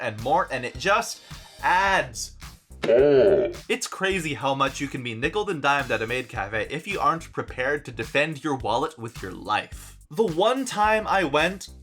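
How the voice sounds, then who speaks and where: A young man telling a travel story in a casual vlog, animated and joking, with dry asides. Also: mid-range voice. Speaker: vlogger.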